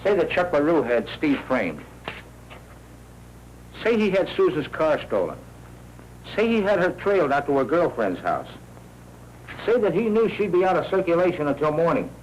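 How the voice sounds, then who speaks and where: A man's voice: fairly high pitch, very animated, moderate pace, pauses often. An actor with an American accent playing a streetwise, pushy newsroom man in a 1940s crime film, full of slangy wisecracks. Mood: insistent, needling. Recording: noisy 1940s film soundtrack.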